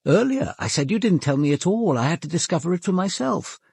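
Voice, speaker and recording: A man with a British accent acting a reflective, probing senior police detective in a radio drama, narrating in a measured, formal, literary way. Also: high-pitched, very animated, gravelly, darkish tone, breathy edge, no echo, studio quality, exaggerated voice. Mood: frustrated.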